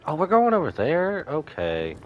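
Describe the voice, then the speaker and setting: A man's voice: a little high, wildly swooping pitch, moderate pace, highs cut off, soft background music. A man giving casual live reactions as a game streamer, slangy and irreverent, talking back to the game.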